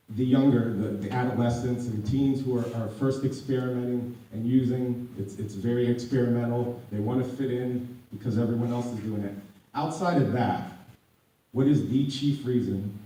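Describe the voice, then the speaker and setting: A hoarse man gives a talk as a counselor, his voice very dark and mellow. He often stumbles and repeats his words, and pushes his points harder as he gets going. He is picked up from across a big echoey room. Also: mid-range voice. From United States. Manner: repeats and restarts words, grows emphatic as he goes. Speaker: addiction counselor speaking at a community panel. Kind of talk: public talk to an audience. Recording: echoey room audio from far off.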